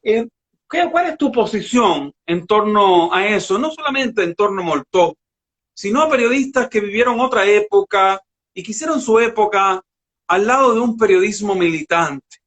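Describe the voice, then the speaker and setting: High-pitched male radio host. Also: animated, moderate pace, fairly bright tone. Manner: conversational, prefaces questions at length. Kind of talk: interview questions.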